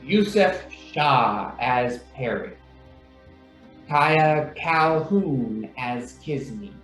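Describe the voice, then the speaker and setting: A man announcing radio drama credits in a formal, deliberate, scripted manner. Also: mid-range voice, lively intonation, a bit bright. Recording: roomy echo, faint music.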